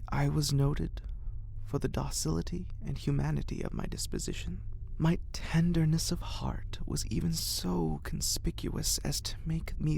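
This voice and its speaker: A narrator reading a horror story in a breathy, gravelly ASMR whisper, with a deliberate, dramatic literary delivery. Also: mid-range voice, darkish tone, slight background noise.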